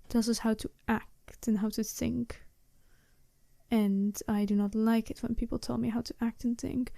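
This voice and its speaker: A woman playing a smooth, businesslike and seductive werewolf bride in a soft-spoken ASMR roleplay, speaking politely and formally in measured phrases. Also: a little high, dry recording, natural voice.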